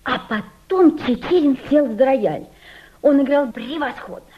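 An actress playing a homesick, quietly defiant Russian émigré woman in a Soviet radio drama, with a lyrical, dreamy delivery. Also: high voice, lively intonation, a little slow. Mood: dreamy nostalgia. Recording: dull lo-fi radio play recording.

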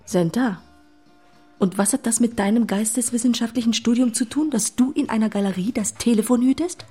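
A woman's voice: on the high side, brisk, mellow, breathy edge. An actress playing a practical, matter-of-fact woman, the exasperated best friend, in radio play style. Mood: defensive, annoyed. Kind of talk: German radio play acting. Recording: music underneath.

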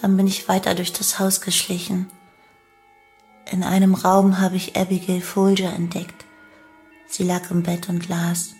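An actress playing a young cult killer who confesses in a detached, matter-of-fact way in a radio play. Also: female voice, fairly low pitch, monotone, a little slow, darkish tone, faint music behind. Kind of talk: radio play monologue in testimony form.

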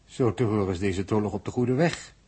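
An actor in a 1960s radio drama playing a logical, analytical space traveller from Earth, with a very husky voice and a formal, precise, courteous manner. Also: male voice, on the low side, a little fast, darkish tone. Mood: analytical, curious. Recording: old 1960s radio recording.

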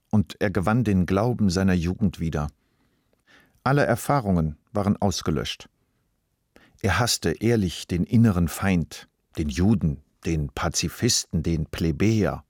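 A man reading literary narration for a radio play in a measured, deliberate way. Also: deep voice, a little mellow, no room sound, clean studio sound.

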